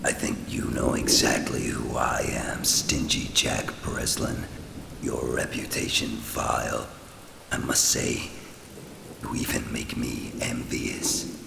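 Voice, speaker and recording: Gravelly, abyssally deep devil. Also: male voice, completely flat delivery, very noisy, heavily acted. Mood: menacing, mocking. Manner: slow, deliberate, archaic grand speech. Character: menacing male devil, mocking, imperious and vengeful. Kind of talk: radio play acting. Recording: echoing voice under loud music and rain.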